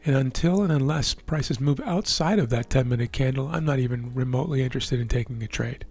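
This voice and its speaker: A man with a hoarse, worn voice calmly explaining the markets as a trading educator, often checking in with the listener. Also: medium pitch, fairly quick, soft background music. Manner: relaxed, explanatory, checks in with "right?". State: hoarse, losing his voice. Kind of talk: live market commentary over a chart screen.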